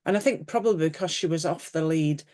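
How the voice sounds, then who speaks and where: A woman's voice: low-pitched, fast, darkish tone. A woman, an author chatting as a guest on a video call interview, with many fillers and restarts. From Yorkshire, England. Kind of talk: casual conversational interview.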